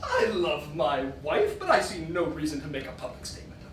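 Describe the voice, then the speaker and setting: An actor on stage playing an indignant, loyal husband defending a friend, in an emphatic, rhetorical manner. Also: male voice, a little high, wildly swooping pitch, fairly quick, a bit bright. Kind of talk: stage acting in a one-act play. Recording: echoey stage recording from far off, noisy.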